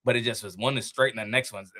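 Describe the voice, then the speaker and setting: Fast-talking joking man in his thirties. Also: mid-range voice, steady tone, pushed and bright. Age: 30s. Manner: laid-back, joking, slangy. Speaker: podcast guest. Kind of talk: casual chat.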